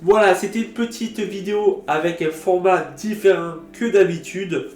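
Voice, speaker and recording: Male vlogger with a cold, animated storyteller, roomy sound, soft guitar bed. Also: high voice, moderate pace. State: has a cold. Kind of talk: casual storytelling vlog.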